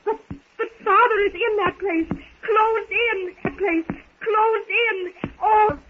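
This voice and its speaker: A woman's voice: extremely high pitch, steady tone, slow pace. An actress playing an anxious, genteel young woman in 1930s radio melodrama, fretful and theatrical, with hesitant repetitions. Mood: distraught. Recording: noisy, tinny 1930s radio recording.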